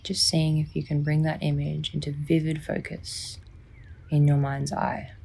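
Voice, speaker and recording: Woman, low-key monotone, husky breathy voice, creaky, soothing meditation guide, unhurried phrasing. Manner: soothing, gentle, unhurried, with long pauses. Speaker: psychologist guiding a sleep meditation. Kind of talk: guided sleep meditation, scripted narration.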